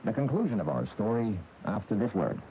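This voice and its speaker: An actor playing the grave, philosophical narrator and host of a radio drama, delivering scripted lines. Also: male voice, on the low side, very animated, a little fast. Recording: dull AM radio recording, loud music behind.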